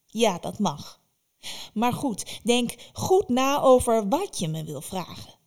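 A woman voicing a fierce, commanding old witch in a spoken fairy tale, loud and stern. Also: fairly high pitch, animated, slow, vocal fry, exaggerated voice. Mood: boastful.

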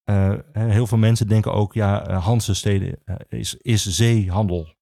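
A man explaining things as a guest in an interview, in a halting manner with many pauses. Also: fairly low pitch, steady tone, creaky, mellow, no room sound, clean studio sound. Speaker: regional archivist, podcast guest.

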